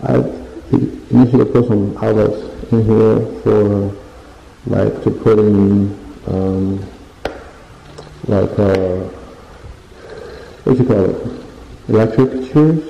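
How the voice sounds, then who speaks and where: A man's voice: fairly low pitch, slow pace, vocal fry, long pauses, mellow, muffled recording, a little hiss or hum. Rambling man in an echoey bare room. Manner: rambling, searches for words, lists things as he points. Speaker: home renovation vlogger. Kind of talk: casual walkthrough narration. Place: unfinished basement room with bare drywall, indoors. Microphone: handheld phone camera.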